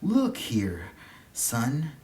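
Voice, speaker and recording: Storybook uncle, acted by a narrator: concerned and indulgent, slow, emphatic, lively intonation. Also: medium pitch, exaggerated voice.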